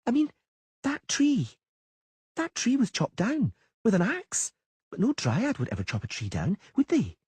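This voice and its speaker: A man's voice: sits high, huge pitch swings, measured pace, darkish tone, a little hiss or hum, voice pushed up, full character voice. A man voicing a frightened but clever young girl in an audiobook, with a trembling voice. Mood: trembling insistent.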